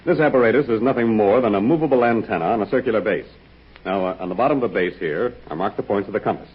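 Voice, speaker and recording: Calm, patient hero captain, quick delivery, old dull radio sound. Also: male voice, fairly low pitch, husky. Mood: steady. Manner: steady, patient, explaining. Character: calm heroic adult aviator captain. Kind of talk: 1940s radio acting. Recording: muffled old radio with faint hiss.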